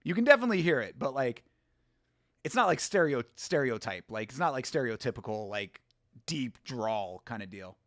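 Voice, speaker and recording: A man's voice: mid-range voice, big pitch swings, moderate pace, fairly bright tone, no echo, clean studio sound. A man hosting a casual live watch-along, talking in a rambling, laid-back way, swearing, laughing often and answering viewer comments. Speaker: host.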